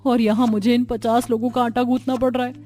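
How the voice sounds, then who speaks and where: A woman's voice: fairly high pitch, level tone, fairly quick, dark tone, lightly acted. A woman voicing a put-upon young daughter-in-law in a cartoon family drama, plaintive and grumbling.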